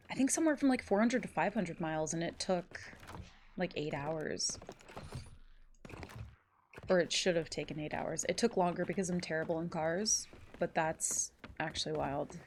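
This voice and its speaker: A woman's voice: fairly low pitch, moderate pace, darkish tone, slight background noise. Stuffy-voiced woman streamer, rambling chat. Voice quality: nasal, congested. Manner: rambling, lots of fillers and swearing. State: stuffy nose. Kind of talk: casual live-stream chat, reading chat aloud.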